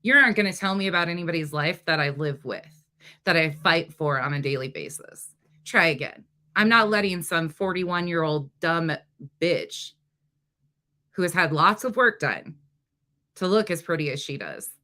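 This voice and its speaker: A woman's voice: fairly low pitch, normal speed. A middle-aged woman from North Dakota with a light North Dakota accent, hosting a casual livestream and talking bluntly with plenty of swearing. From North Dakota, USA. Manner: blunt, profane and animated. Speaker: livestream host. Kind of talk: casual livestream chat reading comments.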